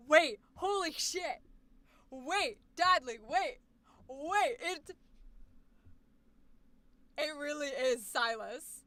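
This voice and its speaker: A woman coaching voice actors on a live stream, reacting with effusive exclamations and quick asides. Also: sits high, sharp tone. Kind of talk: live stream commentary on student recordings.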